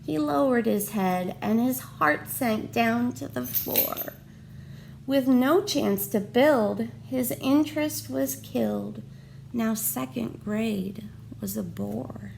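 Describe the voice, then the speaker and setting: A woman reading a picture book aloud to children, with expressive, dramatic and deliberate phrasing. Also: on the high side, vocal fry, a little hiss or hum, poor audio. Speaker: storybook reader for children. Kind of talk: expressive picture-book read-aloud with questions to young listeners.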